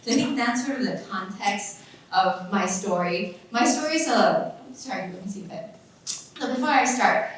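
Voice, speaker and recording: A woman's voice: medium pitch, animated, normal speed, distant mic, slight background noise. Chatty woman speaking in an echoey hall. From Taiwan. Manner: chatty, filler-heavy, frequent restarts. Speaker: summit speaker, nonprofit founder. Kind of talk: conference talk. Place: large echoey conference hall.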